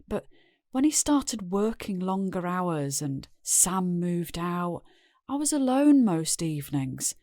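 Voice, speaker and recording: A woman's voice: lowish voice, darkish tone, dry recording, studio quality. An actress with a British accent playing a weary, resigned middle-aged wife and mother, confessing plainly in an audio drama. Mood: defensive, confessional. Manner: plain-spoken, world-weary.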